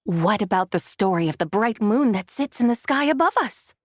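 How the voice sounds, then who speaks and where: A woman voicing a courteous, mysterious young woman with formal, gentle speech in a video game. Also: mid-range voice, expressive, moderate pace, dry recording, studio quality, close to an everyday voice. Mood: curious, composed. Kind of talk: video game character dialogue.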